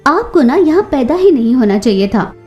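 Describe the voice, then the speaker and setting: A woman's voice: high-pitched, very animated, normal speed, a little mellow, highs cut off, faint music behind. A woman voicing a bold, protective young female bird in a cartoon story, outspoken in manner. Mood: defiant. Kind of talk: Hindi children's cartoon story acting.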